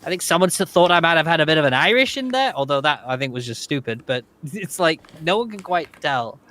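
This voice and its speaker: A man's voice: on the high side, lively intonation, brisk, sharp tone. Young Yorkshire man, mixed accent. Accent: mixed British, mainly Northern English (Yorkshire), with some American. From Yorkshire, England. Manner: chatty, laughs often, hesitant restarts. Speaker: guest. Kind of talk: casual podcast chat.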